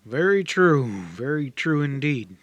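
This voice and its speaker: Man reading as a measured older gentleman. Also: fairly high pitch, sing-song, dry recording, exaggerated voice. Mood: musing, reserved. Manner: measured, formal, musing. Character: weary older gentleman stranger, educated, reserved and kindly. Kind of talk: audiobook character dialogue.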